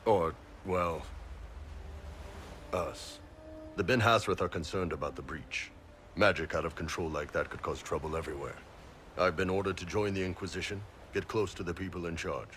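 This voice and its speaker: An actor playing a big horned Qunari mercenary boss in a video game, blunt, informal and jovial. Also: male voice, low voice, a bit bright, a little hiss or hum. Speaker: mercenary captain and self-declared spy. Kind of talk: video game character dialogue. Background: game music.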